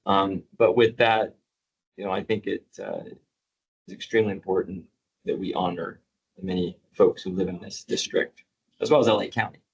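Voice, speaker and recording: A man speaking as a director at a public board meeting, measured and pausing with fillers. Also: fairly even intonation. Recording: dull low-fidelity meeting audio.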